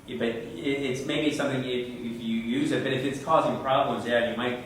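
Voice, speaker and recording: A man's voice: medium pitch, steady tone, a little fast, slight background noise. A man presenting tech tips at a user group meeting, talking conversationally and answering questions, often repeating words as he goes. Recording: echoey room, mic far off.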